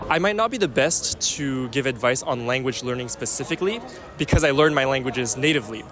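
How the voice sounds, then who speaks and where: A man's voice: medium pitch, expressive, fairly quick, a crowd in the background. A young man from France answering a street interviewer in an articulate, thoughtful way.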